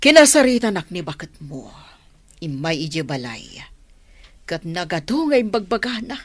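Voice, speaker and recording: A woman's voice: fairly low pitch, very animated, normal speed, no room sound. A woman playing a blunt, money-minded middle-aged mother of a grown son in an Ilocano radio comedy drama. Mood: discontented.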